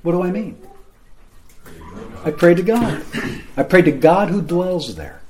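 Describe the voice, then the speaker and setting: A man's voice: high voice, animated, unhurried, darkish tone, a little hiss or hum. A man teaching the Bible to a group in a room, explaining in a plain, conversational way and often checking in with his listeners.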